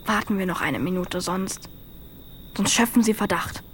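A woman's voice: medium pitch, normal speed, a bit bright, lightly acted. A woman as a young boy, one of a band of brothers, speaks in a mid-range voice with faint noise around it. The boy sounds wary and challenging, as in a radio play. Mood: hushed, wary. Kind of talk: audio drama acting.

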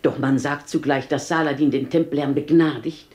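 An actress playing a loyal, anxious, gossipy household companion in a 1950s German radio play. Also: female voice, sits low, fairly even intonation, normal speed, a little mellow, muffled recording, lightly acted. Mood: confiding. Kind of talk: 1950s German radio play acting, classical verse drama. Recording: 1950s radio drama recording.

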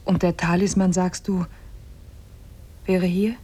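An actress playing a cunning captive woman who feigns love, soft and seductive, in a 1950s German radio play. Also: lowish voice, little pitch movement, takes it slowly. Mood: seductive, breathy. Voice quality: very breathy, often near whispering. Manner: soft, coaxing, hesitant.

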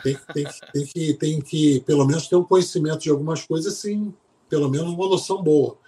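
A man from Brazil, a sports psychologist guest, talking in a relaxed, chatty way in a casual podcast interview and often restarting his phrases. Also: fairly high pitch, fairly quick. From Brazil, born in Salvador, raised in Rio de Janeiro.

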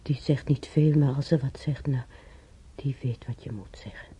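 A man playing an elderly German emigrant in a radio drama, reminiscing in a rambling, halting way with a German accent and husky, creaky voice. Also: medium pitch, fairly even intonation, mellow. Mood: confiding, wistful. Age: older. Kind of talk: intimate radio drama monologue.

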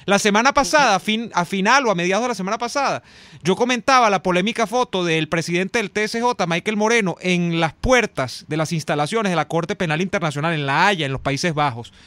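A man hosting a talk show in a studio, explaining the news in a measured way. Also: sits high, fairly bright tone, dry recording. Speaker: host. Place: radio studio.

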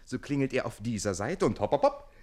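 An actor in a classic German radio play playing a witty, scheming young bridegroom in service, theatrical, ironic and eloquent. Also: male voice, medium pitch, big pitch swings, brisk, darkish tone, lightly acted. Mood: eager, bantering. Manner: theatrical, ironic, eloquent, shifting into conspiratorial muttering.

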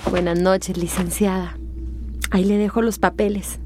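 A woman's voice: mid-range voice, level tone, moderate pace, over music, natural voice. A woman playing a considerate, polite office assistant in a radio drama.

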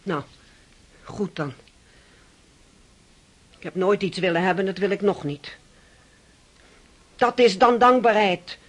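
A woman's voice: medium pitch, slow, long pauses. An actress playing an aggrieved, pious, self-pitying unmarried noblewoman in a radio drama. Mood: bitter, martyred. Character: aggrieved, pious unmarried niece of a dying count.

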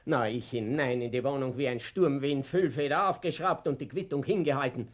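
A man's voice: medium pitch, brisk, natural voice. An actor playing an anxious, blustering working father with a Viennese accent in a colloquial, naturalistic radio play. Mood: lively, chatty. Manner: colloquial, exclamatory, dialect-tinged.

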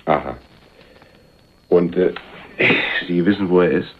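A suave rich man in a radio thriller. His voice is very deep and very slow, polite yet condescending and quietly menacing. The recording is slightly muffled. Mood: suave, probing. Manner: deliberate, polite, probing. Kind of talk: 1980s German radio crime drama acting.

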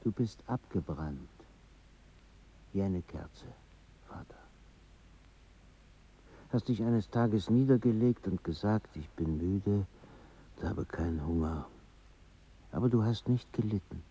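A man's voice: fairly low pitch, slow, pauses often, mellow, husky, heavily acted. An actor playing a foreign traveller, a son who grieves for his father, intense and reflective, in a radio drama. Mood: subdued, tender. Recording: slightly dull sound.

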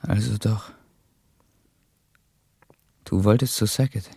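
A man's voice: lowish voice, slow, gravelly, dark tone, breathy edge, dry recording, heavily acted. An actor playing an intense, volatile man in a German radio drama, urgent and repetitive, desperate for redemption. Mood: wary, subdued. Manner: obsessively repeating, urgent phrasing.

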